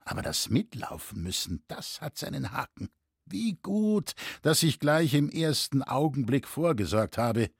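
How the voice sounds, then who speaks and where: A husky old craftsman from a historical storybook, played by a man, wry and mock-earnest. His phrasing is flowery and ironic, with wide swings in pitch, recorded close and dry. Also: very animated, unhurried, dry recording, heavily acted. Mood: muttering, brooding. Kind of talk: audiobook character acting.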